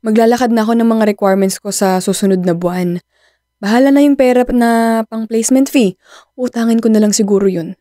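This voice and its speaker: A woman's voice: on the high side, a little fast, mellow, no room sound, studio quality, close to an everyday voice. A woman with a Filipino accent playing a desperate, stubborn young woman in a radio drama. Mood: hesitant, resolute. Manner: insistent, pleading, defensive.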